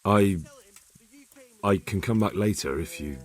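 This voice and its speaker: An actor playing an earnest, courteous soldier in a medieval video game, polite and gently questioning. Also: male voice, low-pitched, unhurried. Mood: hesitant. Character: earnest, courteous young soldier investigating a raid. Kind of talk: dramatic video game acting.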